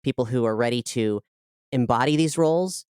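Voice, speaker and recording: Woman, low register, clean crisp tone, dry close sound, talking conversationally as a creative director being interviewed. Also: moderate pace, a little mellow, no echo. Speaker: audio drama creator and director, interview guest.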